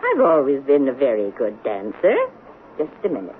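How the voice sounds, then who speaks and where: A woman playing a prim, pious, kindly but sly elderly lady in a 1970s radio drama, speaking genteelly and deliberately. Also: very deep voice, wildly swooping pitch, a little hiss or hum, full character voice. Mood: confident, sly. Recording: muffled vintage radio broadcast with music.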